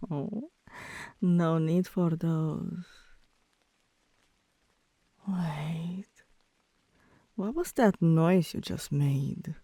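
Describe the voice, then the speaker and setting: Slow, creaky, teasing girlfriend played by a woman. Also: warm tone, dry recording, heavily acted. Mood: teasing, commanding. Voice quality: low, breathy at times, dropping into whispers. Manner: slow, coaxing, commanding, teasing. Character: teasing, soft-dominant tomboy girlfriend, adult woman. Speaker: ASMR roleplay voice performer. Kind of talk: intimate ASMR girlfriend roleplay, scripted.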